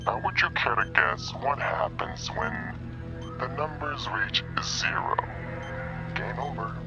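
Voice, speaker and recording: An actor playing a calm, sadistic killer in a crime thriller game, taunting in a measured, menacing way. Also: male voice, mid-range voice, music in the background. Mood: cold, taunting.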